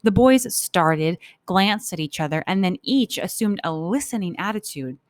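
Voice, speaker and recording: A woman's voice: mid-range voice, lively intonation, moderate pace, no room sound. A woman teacher reading a story aloud for children and explaining it informally as she goes. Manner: informal, explanatory, acts out thoughts.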